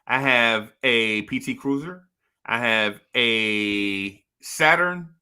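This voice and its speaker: Bright-voiced teasing male co-host. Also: mid-range voice, slow pace, clean tone. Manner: teasing, joking, calls others "bro". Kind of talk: casual sports radio banter.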